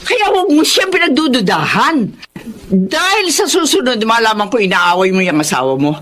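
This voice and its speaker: A woman's voice: on the high side, big pitch swings, moderate pace, a bit bright. An actress playing a stern, scolding older mother who lectures her son in a radio drama.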